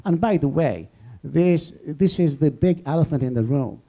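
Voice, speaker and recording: Mellow, dark-voiced man thinking aloud. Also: fairly high pitch. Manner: discursive, halting, rephrasing as he goes. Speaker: professor on a debate panel. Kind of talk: extemporaneous academic discussion before an audience.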